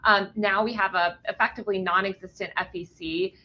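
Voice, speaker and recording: A woman's voice: medium pitch, fairly even intonation, brisk, lo-fi sound. A woman journalist being interviewed remotely, talking loosely with many ums and restarts while giving blunt opinions. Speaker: journalist guest.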